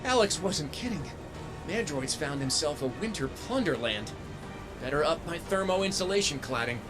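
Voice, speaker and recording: An actor playing an upbeat young robot hero in a video game, with a wry, quippy, informal way of talking. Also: male voice, a little high, lively intonation, moderate pace, music in the background, lightly acted. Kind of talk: video game cutscene acting.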